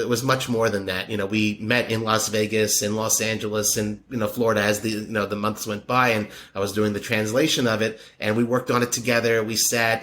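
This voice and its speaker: A man's voice: medium pitch, slight background noise. A man, a podcaster telling a friendly story in a casual interview, rambling with many fillers and restarts. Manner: warm, admiring storyteller. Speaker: podcaster and poker media guest.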